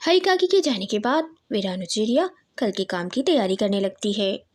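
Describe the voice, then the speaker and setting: A woman narrating a scripted children's cartoon story in an expressive, dramatic storytelling manner. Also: medium pitch, moderate pace.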